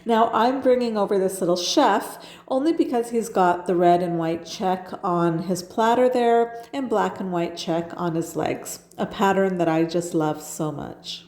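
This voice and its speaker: A woman's voice: mid-range voice, a little slow, few pauses, a little mellow, roomy sound. A woman with a stuffed-up nose from a cold, chatting enthusiastically as she narrates her home decorating. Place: home kitchen.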